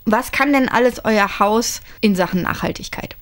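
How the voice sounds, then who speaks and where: A woman from Germany interviewing a couple, asking quick, curious questions. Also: fairly high pitch.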